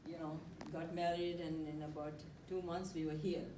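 A woman's voice: low-pitched, little pitch movement, normal speed. An older woman at a workshop telling a personal story in a conversational, hesitant way with fillers, speaking into a handheld mic in a community room. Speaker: workshop participant. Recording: echoey room, mic at a distance, noisy.

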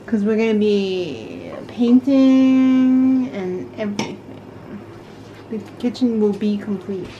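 Unhurried middle-aged woman, noisy home recording. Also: mid-range voice, animated, dull audio. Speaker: vlogger's mother. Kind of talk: casual family chat. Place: home kitchen, indoors. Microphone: handheld camera at a short distance. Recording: noisy home camera audio.

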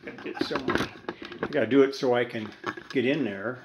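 An older man talks at his home workbench in a relaxed, meandering way, stopping often for fillers and restarts. His pitch sits in a middle range. The small workshop gives his voice a noticeable roomy echo. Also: brisk, background noise. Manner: rambling, hesitant, many fillers. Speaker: radio repair hobbyist vlogger. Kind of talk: casual workbench vlog. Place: cluttered home workshop with electronics bench.

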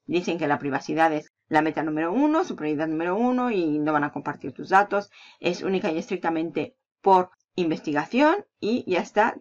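Chatty Spanish woman over a dull mic. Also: lowish voice. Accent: Peninsular Spanish. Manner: chatty and upbeat, laughing between points. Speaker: affiliate marketing channel host. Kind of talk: casual screen-recording walkthrough. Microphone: voice-over on a screen recording.

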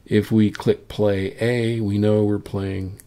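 A man presenting a casual software tutorial, thinking aloud informally as he demonstrates. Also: on the low side, level tone, slow. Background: solo acoustic guitar clips playing.

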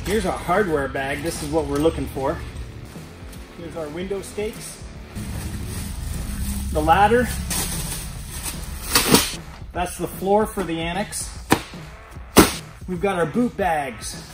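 A man's voice: a little high, slow pace, pauses often, loud music behind the voice. A man unboxing a tent kit, naming each part one by one. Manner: listing items one by one. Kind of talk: unboxing walkthrough.

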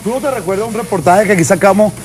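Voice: medium pitch, rapid-fire, music in the background. A Venezuelan reporter on a political show, discussing off the cuff and insistently repeating points for emphasis.

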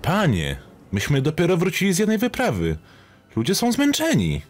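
A man voicing a weary, dutiful male warrior lieutenant with a very expressive delivery. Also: normal speed, noisy background. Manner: very expressive, swinging pitch.

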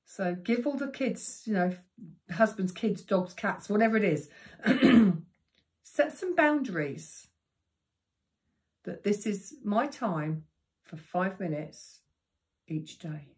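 Croaky older woman teaching meditation. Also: medium pitch, lively intonation, darkish tone. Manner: chatty and practical, unhurried with drawn-out words. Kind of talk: giving tips to camera. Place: living room indoors. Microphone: camera in front of her.

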